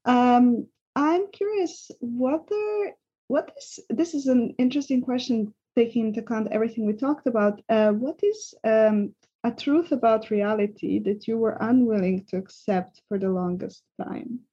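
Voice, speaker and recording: A woman from Serbia hosting a podcast, speaking softly and asking reflective questions. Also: on the high side, normal speed, slightly rough. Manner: soft, attentive, asks reflective questions. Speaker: podcast host. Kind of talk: casual interview chat. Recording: dull lo-fi sound.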